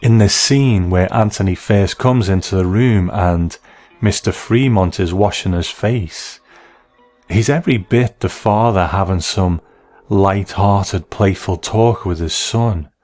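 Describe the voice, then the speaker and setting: A man giving measured, analytical commentary as the host of a review of classic television. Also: low voice, darkish tone, soft background music.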